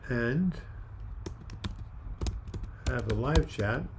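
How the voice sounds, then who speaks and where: Older man, calm soothing voice, casual livestream chat, mid pitch, light background noise. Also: extremely slow. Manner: calm, soft-spoken, with frequent restarts. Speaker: livestream host. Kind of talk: casual live chat reading viewer comments.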